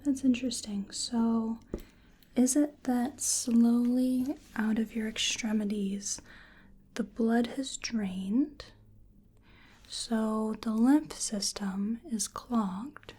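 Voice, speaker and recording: A woman playing a hesitant, curious creature researcher in a soft-spoken, whispered ASMR exam roleplay, musing gently and apologetically. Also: on the high side, fairly even intonation, very slow, breathy edge, full character voice.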